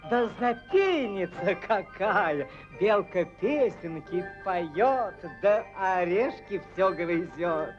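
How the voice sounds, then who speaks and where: An actor playing a respectful travelling merchant in an old cartoon, reciting wonders in measured singsong verse. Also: male voice, high-pitched, low-quality recording. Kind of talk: theatrical fairy-tale verse, chanted recital. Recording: old 1940s film soundtrack with music.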